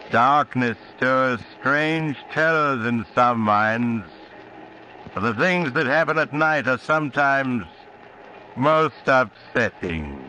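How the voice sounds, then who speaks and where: An actor playing a sinister, teasing traveler who hosts horror tales on old-time radio, in an ominous, theatrical manner. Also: male voice, mid-range voice, sharp tone. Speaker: host and narrator. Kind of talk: 1940s radio horror narration. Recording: noisy old radio recording.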